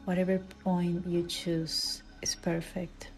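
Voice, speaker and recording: Slow, mellow woman guiding meditation. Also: fairly low pitch, monotone, low-quality recording. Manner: soft, soothing delivery with breathy, near-whispered phrase endings. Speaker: meditation guide. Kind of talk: guided meditation. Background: background music.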